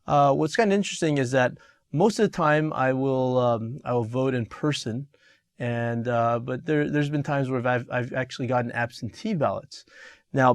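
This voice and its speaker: A middle-aged man reviews an exam answer for his students. He talks in halting stretches, full of "uh" and "um" and restarted phrases. His voice sits at a middle pitch for a man. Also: no room sound, studio quality. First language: Korean. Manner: halting, many fillers and restarts. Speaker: teacher.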